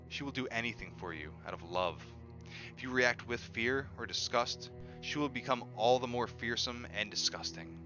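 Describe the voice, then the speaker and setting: A man reading a book aloud in an expressive style. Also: mid-range voice. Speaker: reader of an audiobook on a stream. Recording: dull lo-fi audio with music behind. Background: music.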